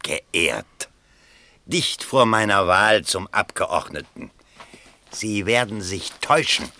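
Blustering middle-aged man of new money, lowish sharp voice, pompous radio drama delivery. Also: expressive, slow pace, exaggerated voice. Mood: guarded pride. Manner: pompous, emphatic. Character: vain, blustering nouveau riche father with political ambition. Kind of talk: German radio play acting.

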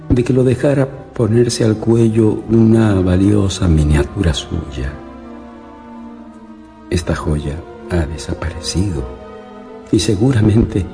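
Radio drama role: grave, bereaved older father, very slow and measured, soft music underneath. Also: sits low, exaggerated voice. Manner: solemn, measured, sorrowful. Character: Victor's grieving, tender, grave older father. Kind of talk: radio drama, reading a letter aloud.

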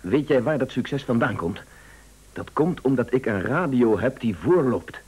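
Husky, determined man in a radio play. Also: mid-range voice, animated, unhurried, darkish tone. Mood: insistent. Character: determined news agency boss plotting to avert war. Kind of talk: Dutch radio play acting.

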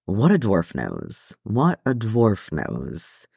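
A man voicing a hearty, good-humoured, proud dwarf in a storybook reading, with measured, old-fashioned phrasing. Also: fairly low pitch, huge pitch swings, vocal fry, darkish tone, slightly rough, dry recording, studio quality, heavily acted. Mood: musing, proud.

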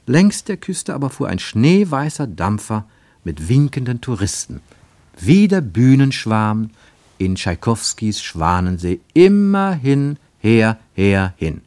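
A man narrating a literary radio play with expressive, deliberate phrasing. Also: mid-range voice, no room sound.

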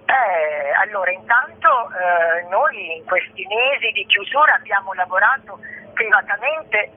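A woman answering as an interview guest, reflective and halting as she searches for words. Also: medium pitch, lively intonation, slightly rough. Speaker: stage actress, interview guest. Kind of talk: spoken interview. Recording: noisy, tinny phone line.

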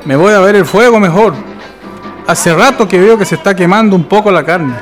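A man's voice: high voice, brisk, lightly acted. A man playing a henpecked, easygoing but complaining husband with a Chilean accent in a radio play. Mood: grumbling. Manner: exclaiming, complaining. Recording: music and crowd behind. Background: outdoor fair crowd and music.

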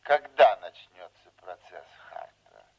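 An older man acting in a radio play as a folksy, scheming veteran politician, unhurried, fatherly and insinuating. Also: low-pitched, expressive, highs cut off, exaggerated voice. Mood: insistent, scheming.

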